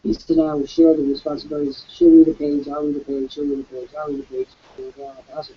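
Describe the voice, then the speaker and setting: A man hosting a live online webcast, with a croaky voice, giving an emphatic, preacherly sales pitch. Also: lo-fi sound. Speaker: webcast host.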